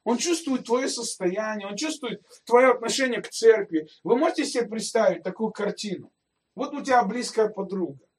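A man's voice: high voice, expressive, moderate pace, far from the mic. A male pastor giving a sermon in a conversational way, speaking straight to his listeners and asking them rhetorical questions.

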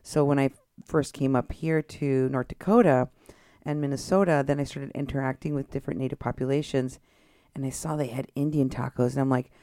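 A woman's voice: rumbling low, steady tone, a little fast, dark tone, no echo. A woman from Texas hosting a casual radio talk show in a studio, chatting easily with fillers. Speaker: radio host.

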